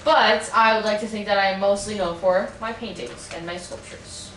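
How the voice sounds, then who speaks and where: A woman's voice: medium pitch, close to an everyday voice. A young woman playing a boastful, snippy old Italian painter. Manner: sarcastic, quick comebacks. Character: boastful male Renaissance painter, touchy and snippy. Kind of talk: amateur mock interview roleplay. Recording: echoey room, distant mic, faint noise.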